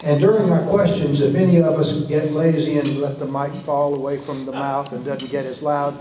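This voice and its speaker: A man moderating a club lecture series, introducing and questioning a guest in a relaxed, chatty way. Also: on the high side, slight background noise. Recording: very echoey hall, far from mic.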